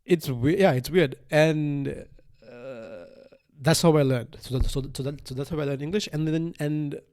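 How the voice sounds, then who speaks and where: A man's voice: on the high side, moderate pace, a little mellow. Rambling man in his thirties from Sri Lanka. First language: Sinhalese. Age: 30s. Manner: rambling, repeats phrases and restarts, often says "like" and "right?". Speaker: podcast host. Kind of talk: casual unscripted solo monologue.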